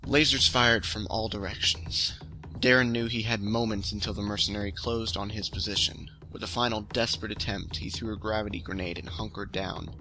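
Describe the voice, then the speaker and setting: A man's voice: fairly low pitch, fairly even intonation, a little fast, background noise. A man hosting a storytelling series, reading his own science fiction story aloud in a casual, amateur way. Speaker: storytelling host. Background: music under the voice.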